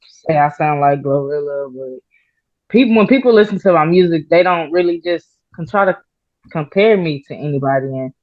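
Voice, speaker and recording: A woman rapper answering interview questions in a halting, soft-spoken way, with many fillers and pauses. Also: low voice, expressive, no echo. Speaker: rapper guest. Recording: slightly dull, muffled audio.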